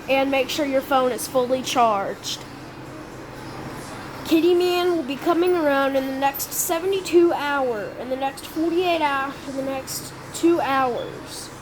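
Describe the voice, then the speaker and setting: A woman voicing an emergency alert announcer, reading out an improvised warning with frequent restarts and self-corrections over noisy game audio. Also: sits high.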